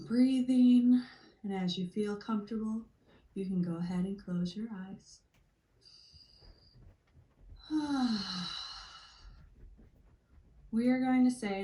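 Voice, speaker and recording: Female meditation guide, mellow tone, slow soothing delivery with long pauses, echoey room. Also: on the low side. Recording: roomy, echoey sound.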